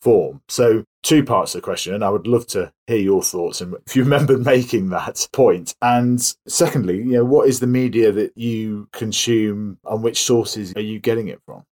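A man with a deep voice hosts an interview podcast. He talks casually and chattily, framing questions in a conversational way. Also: moderate pace, few pauses, a little mellow. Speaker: podcast host.

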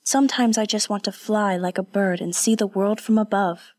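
Een vrouw die speelt een gretige jonge edelvrouw. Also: female voice, mid-range voice, measured pace, a little mellow, dry recording, clean studio sound, voice pushed up, lightly acted. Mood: eager. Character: sheltered young noblewoman, eager and curious.

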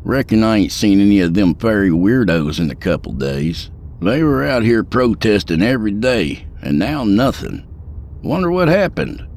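A man's voice: lowish voice, big pitch swings, moderate pace, darkish tone, voice pushed up. A man voicing a folksy, drawling middle-aged male state trooper in a spoken story. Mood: easygoing. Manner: folksy drawl, laid-back.

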